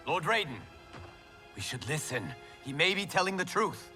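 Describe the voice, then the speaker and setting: A man's voice: fairly high pitch, lively intonation, moderate pace. A man playing a level-headed young warrior monk in a video game, speaking in an earnest, pleading way. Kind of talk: dramatic video game acting. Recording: loud cinematic music behind.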